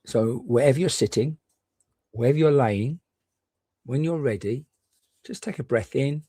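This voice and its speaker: A man gently and reassuringly guiding a meditation, leaving unhurried pauses between short phrases. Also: medium pitch, husky, dry recording. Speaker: meditation guide. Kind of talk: guided meditation.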